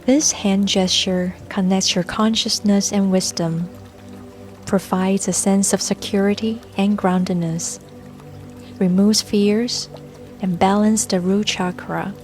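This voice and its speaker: Female meditation guide, mid-pitched, very unhurried and gentle, scripted calming read over soft music and water. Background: soft music and babbling brook sounds.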